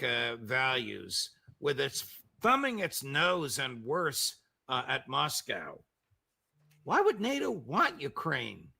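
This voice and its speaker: A man asks interview questions very slowly, pausing often on fillers. His voice is gravelly, with a sharp, bright tone. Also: on the high side. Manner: halting, with many filler pauses.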